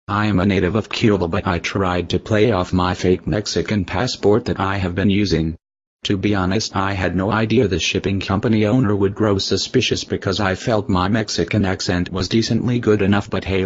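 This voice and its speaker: Male interviewee, deep voice, stiff recited answers, slightly muffled recording. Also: fairly even intonation, moderate pace.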